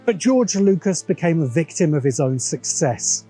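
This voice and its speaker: Mellow, higher-voiced man narrating with dry bite. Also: big pitch swings, normal speed, slightly rough. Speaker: host of a science fiction commentary show. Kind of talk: scripted video essay narration. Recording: music behind the voice. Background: background music.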